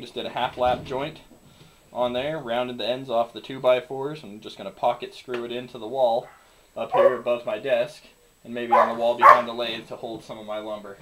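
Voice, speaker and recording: A man calmly explaining his woodworking setup in a small backyard wooden workshop. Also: medium pitch, background noise. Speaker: young woodworker showing his shop. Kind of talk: casual explanation.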